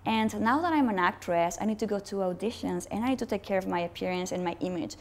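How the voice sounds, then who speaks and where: A woman from Mexico answers interview questions in a matter-of-fact way. Her voice sits at a middle pitch, and her delivery is steady and direct. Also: quick pace. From Sinaloa, Mexico. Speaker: accountant, business owner and actress.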